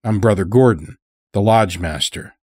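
A man voicing a guarded, terse lodge master in an audiobook story, questioning in a measured, wary way. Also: on the low side, darkish tone, husky, no room sound, clean studio sound. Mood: probing.

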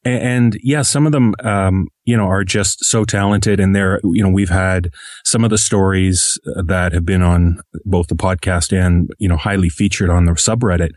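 A man's voice: sits low, fairly even intonation, moderate pace, darkish tone, no room sound. A man speaking as an interviewed podcast creator, thoughtful and wordy, with a self-deprecating streak in conversation.

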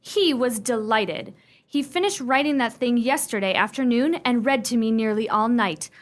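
A woman's voice: fairly high pitch, moderate pace, lightly acted. A woman playing a prim, imperious young upper-class fiancée in a radio play, speaking sternly with precise, formal diction. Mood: outraged.

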